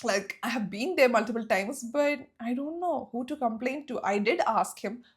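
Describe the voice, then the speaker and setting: A woman's voice: fairly high pitch, animated, moderate pace. A young woman with a South Indian accent, talking to camera in an emphatic, chatty storytime vlog. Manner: chatty and emphatic, rants with rising indignation. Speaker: vlogger.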